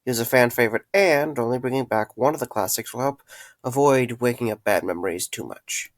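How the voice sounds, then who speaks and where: Male actor as an earnest, worn-out young man; hesitant, halting delivery, dry mic. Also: mid-range voice, no room sound, lightly acted. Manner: hesitant, with filler words. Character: young man reopening a pizzeria, tired but earnest. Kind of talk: fan audio drama acting.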